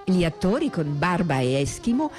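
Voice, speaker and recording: A woman's voice: lowish voice, very animated, normal speed, a little mellow. A woman reading scripted narration and reviews as a radio narrator. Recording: music under the voice. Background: music.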